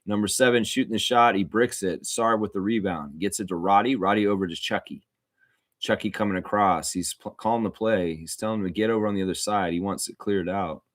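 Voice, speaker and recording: A man's voice: on the low side, a little fast, no echo, studio quality. A man giving casual, conversational fan play-by-play of basketball, rambling a little and repeating himself. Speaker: livestream host calling basketball play-by-play. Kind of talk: casual fan sports commentary.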